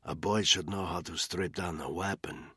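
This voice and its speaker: A male narrator voicing a menacing middle-aged cartel boss who talks in a cold, hoarse near-whisper. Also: sits low, normal speed, no echo, clean studio sound, full character voice. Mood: cold menace. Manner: cold, quiet, deadpan threats.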